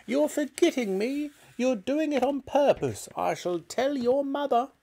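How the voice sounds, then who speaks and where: A man's voice: lively intonation, measured pace, creaky, warm tone, heavily acted. A man voicing a nasty, grumpy old grandmother who screeches and snaps spitefully. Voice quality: shrill screeching. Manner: snapping, spiteful, scolding.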